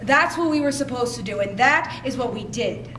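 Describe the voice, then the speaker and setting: A woman acting as a frustrated cop on the defensive, emphatic and insistent. The voice is very high, in a stage-drama style, caught from far off in an echoey room. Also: animated, normal speed, noisy background, close to an everyday voice. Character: defensive, frustrated police officer justifying following the rules. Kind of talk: dramatic readers theater monologue.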